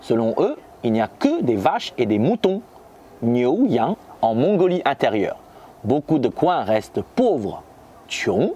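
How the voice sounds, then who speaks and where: Lively Chinese man explaining in French. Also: mid-range voice, breathy edge. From Shanghai, China. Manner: reads French lines, then says Chinese terms slowly. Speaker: language teacher. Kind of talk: scripted educational narration.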